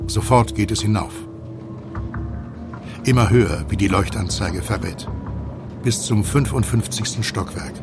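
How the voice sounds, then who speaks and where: A man reading scripted audio drama narration in a measured, suspenseful way. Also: lowish voice, over music.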